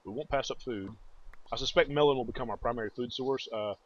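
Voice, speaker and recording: A man's voice: mid-range voice, quick pace. A man giving casual gameplay commentary as a let's play host, thinking aloud and talking to viewers. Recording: dull, noisy home recording.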